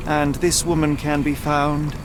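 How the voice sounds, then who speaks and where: An actor playing an aloof, condescending English gentleman detective in a video game, speaking formally and precisely. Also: a little high, flat delivery, measured pace, background noise, lightly acted. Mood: probing. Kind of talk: dramatic video game dialogue. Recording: music behind.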